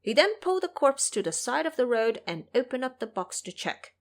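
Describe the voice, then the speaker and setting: A woman's voice: fairly high pitch, very animated, a little fast. A woman narrating a scripted audio drama as a dramatic storyteller.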